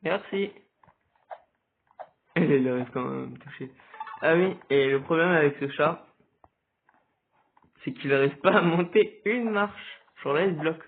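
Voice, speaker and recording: A man with a cold giving hesitant, casual commentary over a game, with sudden excited outbursts. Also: a little high, a little slow, creaky, long pauses, slight background noise. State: stuffy with a cold. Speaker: gaming video creator. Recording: tinny phone-like recording.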